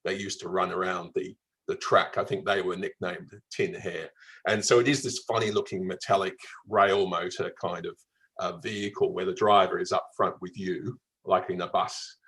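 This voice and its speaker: An Australian man with a mild Melbourne accent talks as a travel writer being interviewed. His voice is fairly low and noticeably husky, and he tells his stories in a conversational way. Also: little pitch movement, normal speed, fairly bright tone.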